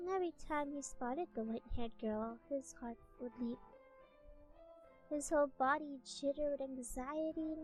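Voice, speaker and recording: A woman giving a soft-spoken ASMR reading of a story in a gentle, deliberate manner. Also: high-pitched, poor audio. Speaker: streamer reading a visual novel aloud.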